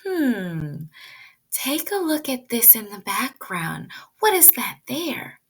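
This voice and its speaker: Slow, very animated woman teacher. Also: fairly high pitch, husky. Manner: expressive, simple explanations with rhetorical questions. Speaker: pre-kindergarten teacher. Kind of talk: read-aloud lesson with questions to young children.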